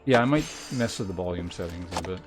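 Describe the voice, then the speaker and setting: A man's voice: deep voice, lively intonation, fast talker. A man giving casual playthrough commentary as a game streamer, offhand and often muttering to himself. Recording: game music behind the voice. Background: game music.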